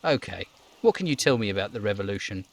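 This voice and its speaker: A man's voice: fairly low pitch, animated, quick pace, vocal fry. Man playing an insistent, inquisitive game detective. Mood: eager, insistent. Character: earnest, inquisitive male police detective. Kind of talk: amateur video game role voice acting.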